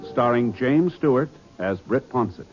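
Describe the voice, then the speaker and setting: A man's voice: mid-range voice, animated, a little slow, loud music behind the voice. A man reading scripted announcements in vintage radio style, formal, earnest and emphatic. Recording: dull old radio recording.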